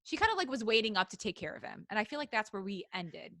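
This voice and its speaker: Giggly woman podcast co-host, bright close dry sound, chatting casually with friends. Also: medium pitch, big pitch swings, fast, gravelly, no echo. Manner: giggly, hesitant when recapping. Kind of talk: casual comedic chat among friends. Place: recorded remotely over a video call.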